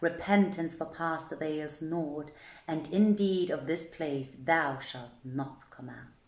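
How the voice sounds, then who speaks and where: A woman voicing a wily male carpenter with measured, formal, archaic speech in a folk tale reading. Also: sits low, darkish tone. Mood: gloating. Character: wily male carpenter, outwardly humble, secretly vengeful. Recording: echoey, roomy sound.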